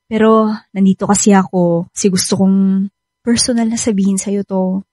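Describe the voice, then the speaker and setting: An actress playing a frank, pushy young best friend with a Filipino accent in a radio drama. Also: female voice, medium pitch, fairly even intonation, normal speed, warm tone, no room sound, close to an everyday voice. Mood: breezy, teasing.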